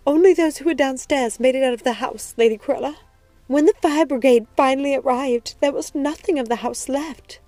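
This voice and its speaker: A woman's voice: sits high, dark tone, dry recording, voice pushed up, close to an everyday voice. A woman voicing a distraught, deferential young female servant who is crying and short of breath, in an audiobook.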